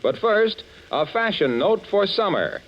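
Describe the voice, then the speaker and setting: A man announcing and selling in the chatty style of a 1940s radio pitchman. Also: very animated, a little slow. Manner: chatty salesmanship. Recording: dull vintage radio recording.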